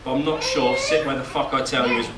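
Young groom, reads a heartfelt joking speech, picked up far off in a noisy hall. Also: male voice, medium pitch, fairly even intonation, fast. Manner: emotional, voice catching at moments, joking. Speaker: groom giving a wedding speech. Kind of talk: reading a wedding speech. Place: wedding reception hall, indoors. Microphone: far camera, no mic. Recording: echoey hall, distant camera, loud noise.

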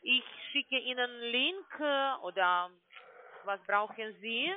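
A man with a foreign accent in his German, working as a call-centre sales agent, halting and often asking for things to be repeated. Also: very high voice, lively intonation, dry recording. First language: not German. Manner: halting, often asks for repetition. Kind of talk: scripted phone sales pitch. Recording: narrow tinny phone line.

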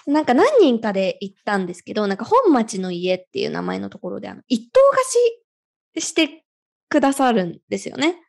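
A woman co-hosts a casual podcast chat. She talks quickly, with a lively, varied pitch. Her way of speaking is polite and conversational. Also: vocal fry. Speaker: podcast co-host.

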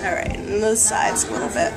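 A woman's voice: mid-range voice, steady tone, fairly quick. A woman in her thirties, a hair stylist, casually explaining a styling tutorial step by step in a salon. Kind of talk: how-to tutorial. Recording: salon video with loud music behind. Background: loud background music.

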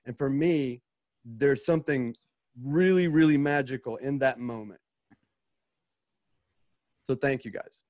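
A man's voice: mid-range voice, unhurried, long pauses, darkish tone, dry recording, studio quality. A man who writes for a radio drama, talking in an earnest, reflective way. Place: indoors at home on a video call.

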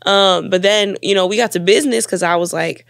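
A woman's voice: medium pitch, fairly quick, creaky, a bit bright, no room sound. A young woman from Texas, a stand-up comedian guest, telling stories in a loose, laid-back way with frequent laughter in a small studio. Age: young adult. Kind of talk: casual comedic storytelling. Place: small studio set, indoors. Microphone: boom studio mic.

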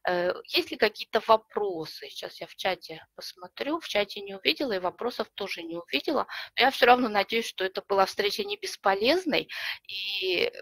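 A middle-aged woman presenting an online webinar from home, explaining a tool step by step in a friendly way with frequent pauses and fillers, a slight cough lingering. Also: mid-range voice, lively intonation, creaky, a bit bright. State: recovering from a cough. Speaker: webinar presenter, librarian and teacher. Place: home room with bookshelves. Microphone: webcam video call.

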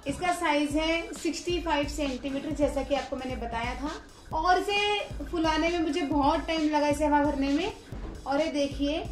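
A young woman talks in a high voice, explaining things casually like a home reviewer. The room adds noticeable echo, and music plays behind her. She slips English words into her instructions. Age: young adult. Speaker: vlogger reviewing a product. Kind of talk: casual unboxing and review talk to camera. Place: living room at home, indoors.

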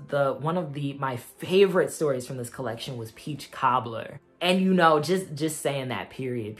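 A young man giving a casual, heartfelt book review at home, speaking earnestly with frequent ums and likes. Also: medium pitch. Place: small room at home with a bookshelf, indoors.

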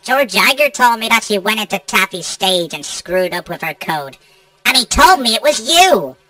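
A brash robot rapper voiced by a woman, bright and animated, slightly off the mic. The game lines come out slangy and indignant. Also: medium pitch, normal speed, dry recording, recorded from a distance. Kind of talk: video game character voice lines.

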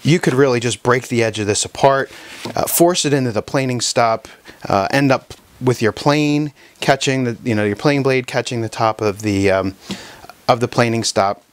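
A man's voice: mid-range voice, no echo. A man teaching hand-tool woodworking in his shop, talking casually with frequent fillers and restarts while he demonstrates. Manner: relaxed, halting, many fillers and restarts.

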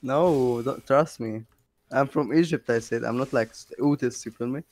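Young male gamer on headset chat; giggly, needling and excitable. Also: medium pitch, moderate pace. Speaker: gamer streaming his match. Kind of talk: casual voice chat while gaming.

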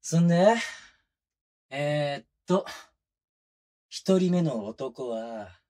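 A man's voice: a little high, expressive, very slow, mellow. A man playing a sadistic detective, one of twins, taunting a suspect in a drawling, needling way in a close-miked ASMR voice drama. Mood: accusing, scornful. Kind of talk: Japanese situation voice drama, binaural ASMR. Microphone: close binaural microphone.

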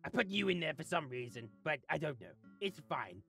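A performer voicing an arrogant, exasperated creature who thinks itself a genius, raspy and theatrical, in a comic gameplay series. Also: medium pitch, big pitch swings, brisk, a bit bright, soft background music. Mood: smug, dismissive. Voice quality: raspy, gravelly. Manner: theatrical, haughty, melodramatic rants. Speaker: voiced character in a gaming series. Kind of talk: voice-acted gameplay comedy.

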